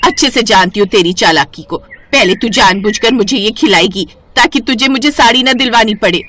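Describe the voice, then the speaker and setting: A woman's voice: on the high side, fairly quick, a bit bright. A woman voicing a stubborn, scolding old mother-in-law in a cartoon moral story. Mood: grumpy, scolding. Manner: scolding, emphatic. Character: grumpy, stubborn old mother-in-law, easily won over.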